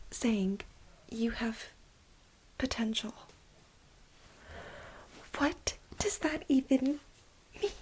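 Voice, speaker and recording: A woman playing an aggrieved, manic, overworked research assistant in a soft-spoken, whispered ASMR role play, with halting, dramatic complaints. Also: fairly high pitch, low-quality recording. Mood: exasperated, hurt. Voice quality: breathy whisper.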